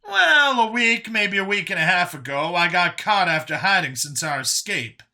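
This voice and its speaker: A man voicing an earnest older male escaped captive in an audiobook, explaining past hardship in a halting, emphatic way. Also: sits high, expressive, pushed and bright, voice pushed up, full character voice. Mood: matter-of-fact. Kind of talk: audiobook character dialogue.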